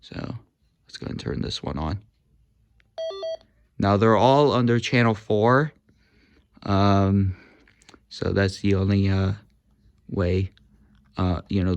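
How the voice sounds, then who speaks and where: Guy slowly showing off some walkie talkies at home, lots of uhs, kinda low voice. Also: steady tone, darkish tone. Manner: halting, many uhs and ums, talks while handling gear. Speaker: hobby video host demonstrating walkie-talkies. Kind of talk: casual hands-on demo.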